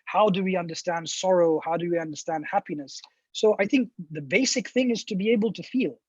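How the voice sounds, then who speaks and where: A man talks in a seminar, weighing each idea through restarts and fillers. His voice is husky and sits fairly high for a man. The sound is dry and a little muffled, like call audio. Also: a little fast, no echo. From India. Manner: thoughtful, many restarts and fillers. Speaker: professor answering questions. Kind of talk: academic seminar talk.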